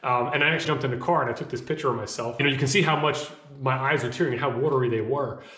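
A man in his thirties talking casually to camera from a small home office, rambling a bit with fillers, his voice slightly hoarse from a cough. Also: medium pitch, rapid-fire, sharp tone. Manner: conversational, rambling with fillers and restarts. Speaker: vlogger. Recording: echoey room.

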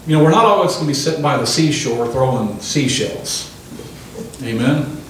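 A man in his sixties preaching a sermon into a handheld microphone in a small church hall, pausing for the congregation's amens. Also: mid-range voice, animated, a little hiss or hum. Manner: emphatic preaching with call-and-response amens. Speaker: pastor. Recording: echoey room from a distant camera.

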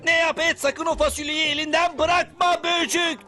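A man voicing a bossy, scheming, excitable cartoon cockroach with a brash, self-important manner and a high cartoon voice. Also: moderate pace. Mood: scolding. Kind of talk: animated cartoon dialogue. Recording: music behind the voice.

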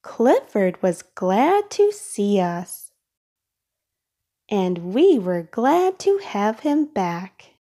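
A woman reading a children's storybook aloud in a careful, expressive way for young listeners. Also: medium pitch, drawn-out words. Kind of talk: read-aloud storybook narration.

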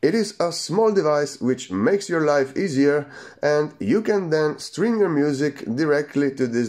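A man's voice: mid-range voice, a little mellow. A man casually demonstrating and reviewing a product in simple, non-native English phrasing. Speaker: gadget reviewer.

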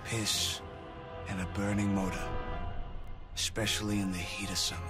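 A man's voice: lowish voice, flat delivery, moderate pace, natural voice. An actor playing a brooding, bitter young mafia hitman in an intimate, streetwise video game monologue. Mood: wry, brooding. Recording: loud music behind the voice.

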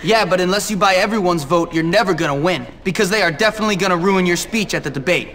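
A man's voice: high voice, quick pace, a bit bright. A tough teenage troublemaker in a video game. He talks curtly and dryly, with a sarcastic, unimpressed edge over faint background noise. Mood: cocky. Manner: curt, dry, sarcastic. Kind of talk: video game cutscene acting.

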